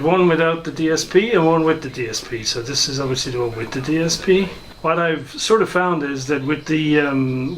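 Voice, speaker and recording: A man giving an informal, hesitant and unhurried demonstration of some hobby gear. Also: on the high side, fairly bright tone, slight background noise, rough recording. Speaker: hobbyist video maker and shortwave listener.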